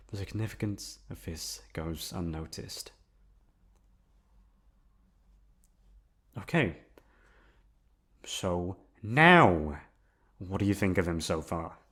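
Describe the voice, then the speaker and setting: A man's voice: fairly low pitch, fairly bright tone. A man voicing a nervous, obsessively lovesick young best friend in an intimate ASMR roleplay, rambling hesitantly straight to the listener. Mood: frustrated, expectant.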